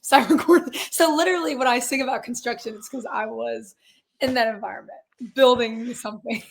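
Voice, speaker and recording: A woman in her twenties with a raspy, hoarse voice, chatting casually as a guest musician from a closet at her grandparents' house. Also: fairly high pitch, fairly quick. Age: 20s. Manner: upbeat, laughs often, many fillers. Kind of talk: casual interview chat.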